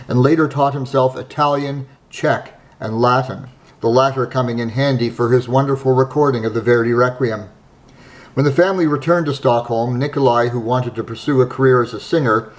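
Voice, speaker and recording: Man narrating in a measured, admiring tone. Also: mid-range voice. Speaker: host. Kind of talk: scripted narration.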